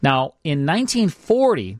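A man's voice: a little high, wildly swooping pitch, measured pace, no echo, clean studio sound. A man with an American accent hosting a radio show, chatting informally and repeating himself now and then. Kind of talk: casual radio banter and show promos. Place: radio studio.

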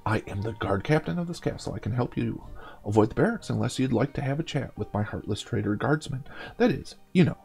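A man's voice: medium pitch, lively intonation, brisk, gravelly, a little mellow, faint music behind. A man voicing an old skeleton guard captain, formal and helpful, in a storybook game. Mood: helpful, wry. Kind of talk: storybook game read-aloud.

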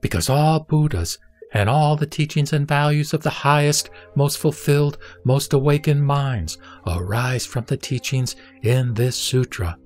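A man's voice: medium pitch, unhurried, soft background music, natural voice. Solemn man acting as a spiritual teacher. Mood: solemn, instructive. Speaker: scripture reader. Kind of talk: scripted audio drama recitation.

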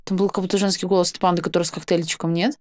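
A woman's voice: on the low side, level tone, racing. A young woman chatting casually and playfully in slang as she reacts to a video. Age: young adult. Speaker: reaction show co-host. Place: small indoor streaming room.

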